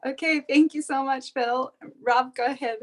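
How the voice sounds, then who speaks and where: A woman's voice: sits high, steady tone, moderate pace. A woman hosting a video call, handing over to each speaker with brief, encouraging remarks. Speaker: meeting host.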